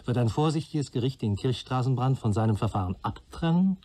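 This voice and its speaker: An actor playing an analytical police assistant in a radio play, methodically reasoning step by step. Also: male voice, medium pitch, lively intonation, brisk, darkish tone, natural voice.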